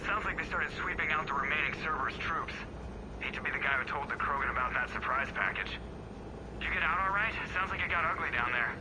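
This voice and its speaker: Quick, wry pilot over radio. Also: male voice, on the high side. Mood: wry, concerned. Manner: wry, informal. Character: breezy, wry male spaceship pilot on radio. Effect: radio filter. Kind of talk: video game cutscene acting. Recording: noisy radio link with engine hum.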